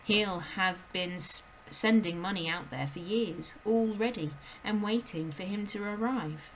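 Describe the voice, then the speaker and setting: A woman voicing a kind young female teacher in a storybook read aloud, speaking calmly and gently as she explains. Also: medium pitch, unhurried, a little hiss or hum, close to an everyday voice, rough recording. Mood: calm, gentle.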